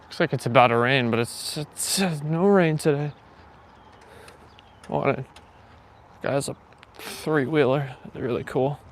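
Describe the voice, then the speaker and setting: A man's voice: mid-range voice, a little slow, pauses often, a little hiss or hum. A man hosting a live morning walk stream, chatting casually and rambling with lots of fillers as he answers his chat. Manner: rambling, filler-heavy, reads chat aloud. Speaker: livestream host. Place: outdoors, walking.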